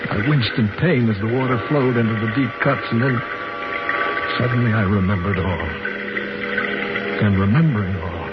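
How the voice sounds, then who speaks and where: An old radio recording, muffled, with loud music behind the voice. A male actor plays a desperate, frightened man recounting his ordeal in a fairly low voice. His delivery is grave, intense and theatrical, in the manner of 1950s suspense drama. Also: moderate pace, long pauses, lightly acted. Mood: grim, weary. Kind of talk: 1950s radio drama acting, suspenseful first-person narration.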